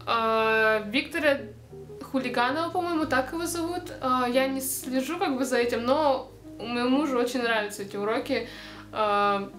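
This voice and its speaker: A woman's voice: on the high side, a bit bright. A young woman, chatting casually to camera in a rambling way, full of fillers and light laughs. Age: young adult. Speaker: vlogger. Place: dark indoor room. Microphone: camera close to face. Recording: echoey room with music behind.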